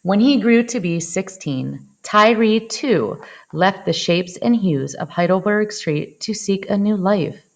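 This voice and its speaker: A woman reading a picture book aloud in an expressive storytelling style, dwelling on action words with dramatic phrasing. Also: mid-range voice, slow. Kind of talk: expressive children's read-aloud narration.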